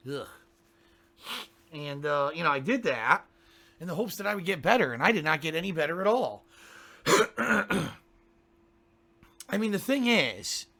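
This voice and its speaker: Streamer, male, down with a cold: hoarse, congested, gravelly, grumbling chat with throat-clearing. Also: a little high, pushed and bright. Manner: grumbling, ranting, keeps clearing his throat. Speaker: game streamer. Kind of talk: casual pre-stream chat to viewers.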